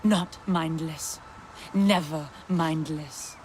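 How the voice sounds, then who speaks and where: A woman playing a proud, earnest rider of an alien plains people, with measured, formal phrasing in a video game drama. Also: low-pitched, fairly even intonation, a little mellow, a little hiss or hum. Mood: firm.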